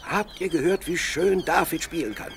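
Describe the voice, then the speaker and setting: A man's voice: fairly high pitch, moderate pace. An actor in a radio play voicing a dreamy, plucky young shepherd boy who muses aloud expressively. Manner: expressive, musing aloud to himself and animals. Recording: clear outdoor animal noise behind. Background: sheep, birds, outdoor ambience.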